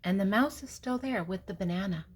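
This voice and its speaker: An older woman with a husky voice reading a picture book aloud to young children, explaining gently and simply with pauses. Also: medium pitch. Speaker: storybook reader and host.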